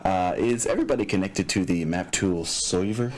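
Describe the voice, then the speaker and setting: A man talking casually and haltingly as game master, explaining the setup of a tabletop game session. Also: deep voice, slight background noise. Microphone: open microphone picking up room sounds.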